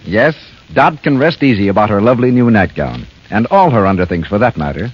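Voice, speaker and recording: A man's voice: fairly low pitch, lively intonation, brisk, breathy edge. A man reading scripted announcements as a 1930s radio announcer. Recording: muffled 1930s radio recording.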